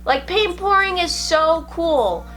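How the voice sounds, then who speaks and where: A young woman with a high, stuffy, congested voice, narrating her crafting vlog in a chatty, upbeat and self-mocking way. Faint music plays softly under her voice-over. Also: expressive, slow. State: congested from a cold. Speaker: YouTube crafts vlogger. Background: faint background music.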